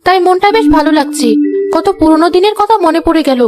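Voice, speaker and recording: A woman's voice: very high-pitched, fast. A woman voicing a sweet, polite young daughter-in-law in a comedy cartoon, innocent in manner. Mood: cheerful, polite. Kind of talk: animated comedy story acting. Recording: loud music behind the voice. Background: music.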